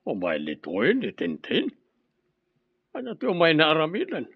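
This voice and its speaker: Naparaw, mangsalsaludsod a karakter. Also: male voice, on the high side, wildly swooping pitch, measured pace, gravelly, slightly rough, no echo, lo-fi sound, exaggerated voice. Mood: disapproving. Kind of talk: Ilocano radio drama acting.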